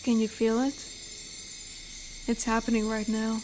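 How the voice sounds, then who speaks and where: A woman playing an amiable female werewolf in an intimate roleplay audio drama, gentle and teasing as she speaks softly to the listener. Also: a little high, flat delivery, unhurried, faint music behind, lightly acted. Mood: soft storytelling. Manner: gentle, teasing, soft-spoken. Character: amiable, flirty female werewolf, tender forest protector.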